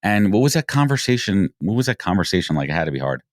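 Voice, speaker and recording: A man in his forties hosting a podcast, asking questions in an animated way and often repeating words as he starts. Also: deep voice, fast, no echo, clean studio sound. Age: 40s. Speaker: podcast host. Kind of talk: conversational interview hosting.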